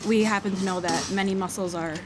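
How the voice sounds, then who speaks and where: A woman's voice: medium pitch, steady tone, fast. A young woman, a water quality specialist, explaining things outdoors beside a creek in an eager, explanatory way. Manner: explanatory, enthusiastic, laughs lightly. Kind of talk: field interview explanation. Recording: outdoor field recording with noise. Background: outdoor ambience.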